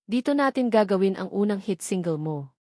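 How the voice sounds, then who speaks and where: A woman narrator playing a slick, businesslike male music producer who talks flatly and mixes English with Tagalog. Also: high voice, a little fast, dry recording, studio quality. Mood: casual. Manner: flat, emotionless, code-switches between English and Tagalog.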